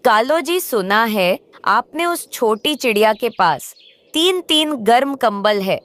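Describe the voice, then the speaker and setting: A woman's voice: a little high, lively intonation, takes it slowly, a bit bright. A woman voicing a discontented, covetous crow wife in a moral cartoon, with a complaining, coaxing manner. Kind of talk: Hindi moral cartoon voice acting.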